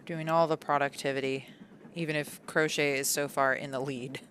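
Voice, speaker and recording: A woman's voice: low voice, steady tone, normal speed, a bit bright, a little hiss or hum. A woman in her thirties chatting casually on a live stream, rambling and laughing at herself as she goes. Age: 30s. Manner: rambling, self-amused, laughs often.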